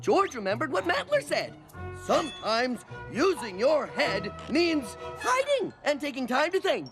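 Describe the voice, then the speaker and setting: Goofy cartoon jungle hero, very high voice, slow delivery, swooping intonation, naive fanboy eagerness. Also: male voice, big pitch swings, over music, exaggerated voice. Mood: earnest. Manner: speaks of himself in third person. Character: dim-witted, eager young jungle man, devoted superhero fan.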